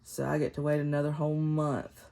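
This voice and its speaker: A woman's voice: sits low, completely flat delivery, a little fast, vocal fry, mellow. A woman in her fifties with a cold, sounding nasal and congested, chatting casually in a vlog from home. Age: 50s. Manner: rambling and chatty, with frequent laughs. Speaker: planner vlogger. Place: home, seated in a chair. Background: faint neighbors' construction noise.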